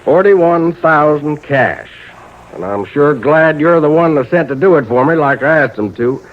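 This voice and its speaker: An actor playing a folksy, nervous old small-town insurance agent with a rural American accent, hesitant and drawn out in old-time radio drama style. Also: male voice, on the high side, animated, normal speed, close to an everyday voice. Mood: confiding. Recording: narrow-band vintage radio recording.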